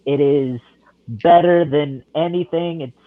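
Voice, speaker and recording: A man's voice: fairly high pitch, takes it slowly, no echo. Stammering man on a tinny line. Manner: apologetic, hesitant, stammering. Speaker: podcast co-host. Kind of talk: casual chat. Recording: dry tinny phone-like line.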